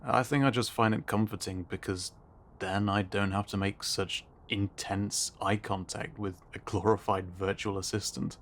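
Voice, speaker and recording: A man's voice: lowish voice, steady tone, normal speed, a little hiss or hum, lightly acted. A man playing an easily spooked, wry friend in a scripted sci-fi roleplay, talking in a hesitant, casual, dryly joking way. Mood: wry, confiding.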